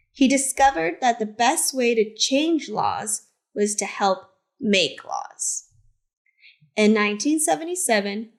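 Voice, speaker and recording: A woman reading a picture book aloud to children as a teacher librarian, in simple, deliberate phrases with short asides. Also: mid-range voice. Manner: simple, deliberate phrasing addressed to young listeners.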